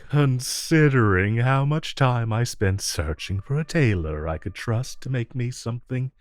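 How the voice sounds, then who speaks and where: A man playing a smug, flirtatious supervillain in a romantic audio roleplay, coaxing and teasing the listener with drawn-out phrasing. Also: medium pitch, expressive, no room sound, faint music behind, close to an everyday voice. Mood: dry, insistent.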